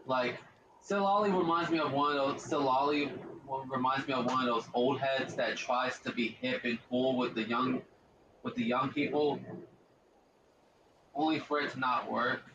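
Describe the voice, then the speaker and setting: Deep-voiced man rambling over a game. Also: fairly even intonation, normal speed, echoey room, highs cut off, noisy background. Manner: rambling, repeats himself, slangy. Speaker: gaming streamer. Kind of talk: casual let's-play commentary.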